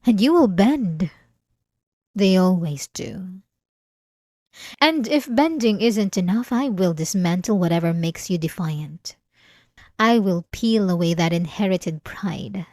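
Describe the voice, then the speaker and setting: Slow, obsessive vampire woman voiced by an actress. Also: lowish voice, expressive, warm tone, no room sound, studio quality. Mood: menacing, controlled. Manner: slow, intimate, deliberate, dramatic pauses. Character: obsessive, possessive, centuries-old female vampire, menacingly tender. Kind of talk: ASMR roleplay, dramatic monologue.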